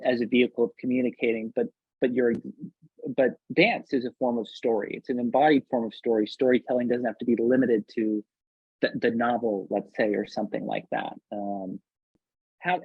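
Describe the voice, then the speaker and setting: A man moderating a thoughtful discussion, haltingly thinking aloud and repeating words, on dull lo-fi audio. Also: mid-range voice, husky, no echo. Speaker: panel moderator.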